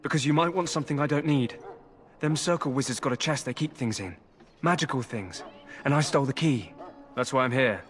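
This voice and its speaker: A man's voice: mid-range voice, fairly quick, faint music behind, lightly acted. An actor playing a starving, wily male prisoner who bargains slyly and wheedles in a video game. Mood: pleading, sly. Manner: wheedling, sly. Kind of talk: dramatic video game acting.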